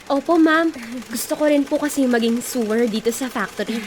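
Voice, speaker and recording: A woman's voice: high voice, brisk, slight background noise, close to an everyday voice. A woman playing an earnest, hardworking young factory worker and wife in an emotional radio drama. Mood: eager, respectful. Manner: expressive, emotional.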